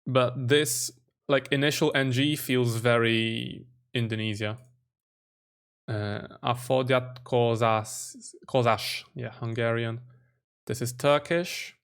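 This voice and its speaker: A man's voice: mid-range voice, fairly even intonation, takes it slowly, fairly bright tone, clear, resonant tone. A young man hosting his own channel, thinking aloud as he works through a language quiz and spelling out letters while he guesses.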